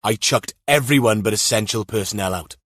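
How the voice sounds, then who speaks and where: A weary, irritable male senior detective played by an audiobook narrator with a lowish voice. He is gruff and curt, muttering his lines, and the sound is dry. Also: a little fast, fairly bright tone, no room sound. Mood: angry, curt.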